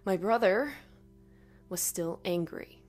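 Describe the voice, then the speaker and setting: A woman narrating a scripted first-person horror story in a dramatic, expressive way. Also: on the low side, takes it slowly, faint music behind.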